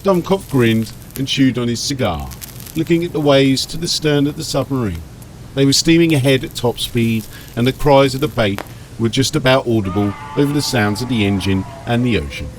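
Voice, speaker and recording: A man's voice: mid-range voice, moderate pace, a little hiss or hum, poor audio. A man narrating an audiobook story in a scripted, dramatic storytelling style.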